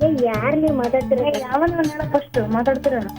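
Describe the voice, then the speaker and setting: A woman's voice: high voice, fast talker. A woman, talking insistently and combatively on a phone call. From Karnataka. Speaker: prank call target. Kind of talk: heated phone conversation. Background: music.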